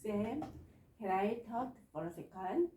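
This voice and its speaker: Slow, halting Korean woman learner. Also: mid-range voice, expressive, warm tone. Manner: halting, with many fillers. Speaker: adult English learner. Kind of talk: reciting a memorized dialogue. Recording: echoey room, faint noise.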